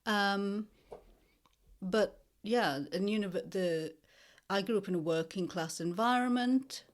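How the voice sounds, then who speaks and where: A woman in her fifties from northeast England, a lecturer speaking as an interview guest in a thoughtful, measured way with many pauses. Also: medium pitch.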